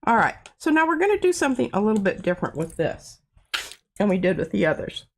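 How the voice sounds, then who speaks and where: Woman craft host, congested, animated delivery, rambling and self-mocking. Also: mid-range voice, quick pace, creaky, a little hiss or hum. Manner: chatty, self-deprecating, talks while working. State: stuffy nose. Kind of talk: casual art demonstration. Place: home art studio.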